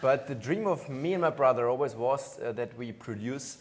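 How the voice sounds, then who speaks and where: Male panelist, mid-pitched voice, jokey English. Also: moderate pace. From Bavaria, Germany. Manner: laughing, joking non-native English.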